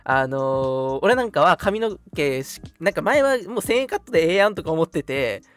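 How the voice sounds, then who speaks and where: A man's voice: a little high, very animated, moderate pace, clear, resonant tone. A man in his twenties in casual conversation as a radio co-host. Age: 20s. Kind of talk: casual chat. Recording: music playing behind the talk. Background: music.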